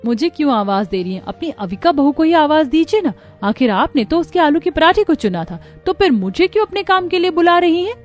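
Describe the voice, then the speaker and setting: Casting: actress as indignant young daughter-in-law for a family cartoon, fairly high voice, emphatic, music underneath. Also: female voice, sing-song, fairly quick, hardly any pauses. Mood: indignant, huffy. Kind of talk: Hindi moral-story cartoon dialogue.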